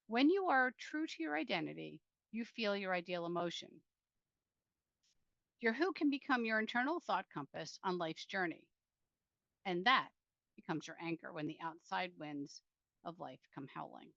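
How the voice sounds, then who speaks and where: A woman's voice: medium pitch, creaky, a bit bright, dry recording. Woman author reading, dry slightly dull recording. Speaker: author reading from own book. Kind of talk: self-help book reading with spoken introduction.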